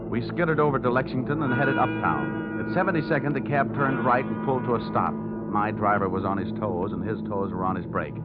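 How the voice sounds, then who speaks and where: An actor playing a cocky, wisecracking American insurance investigator in a 1950s radio detective drama, wry and slangy. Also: male voice, fairly low pitch, a little fast, lightly acted. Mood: wry, moody. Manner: wry, hard-boiled, slangy. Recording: dull vintage radio recording with music.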